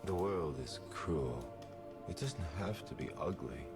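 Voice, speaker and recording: A man voicing a grandiose, theatrical serial killer who speaks slowly and poetically in a deep, whispery voice, as video game voice lines. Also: animated. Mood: menacing, grandiose. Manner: slow, poetic, dramatic delivery. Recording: music playing behind the voice.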